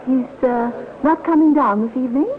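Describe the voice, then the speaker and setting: An actress playing a genteel, inquisitive widow in a 1950s radio drama, arch and politely gushing. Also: female voice, high-pitched, normal speed, close to an everyday voice. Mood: arch, curious. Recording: tinny, band-limited old radio recording.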